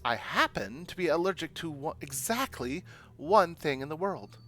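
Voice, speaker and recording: A man's voice: on the high side, lively intonation, moderate pace, bright, forceful tone. A man voicing a self-important, imperious young female student in a visual novel read-aloud. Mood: smug, imperious. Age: young adult. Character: haughty, bossy young woman student obsessed with her thesis.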